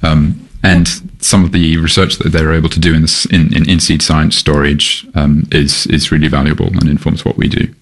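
A very low-pitched man with a gravelly, creaky voice talks through his answers carefully. He is from Malaysia and works at a seed bank. His speech is halting and full of ums and small restarts. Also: little pitch movement. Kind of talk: conversational interview answers.

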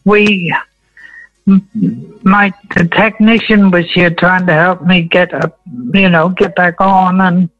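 Slow-talking man in his eighties, dull radio sound. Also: sits high, steady tone, dry recording, soft background music. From Wales. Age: 80s. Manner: slow, meandering, with many ums. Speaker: guest, veteran entertainer. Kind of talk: relaxed radio interview storytelling.

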